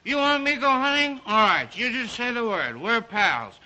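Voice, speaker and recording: Loud tipsy american playboy, really high sharp voice, rambling slangy bragging, old movie comic relief. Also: male voice, big pitch swings, normal speed, a little hiss or hum, heavily acted. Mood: chummy, boastful. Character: tipsy, boastful, playboy American brother, chummy and silly. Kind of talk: old film-era comic-relief acting.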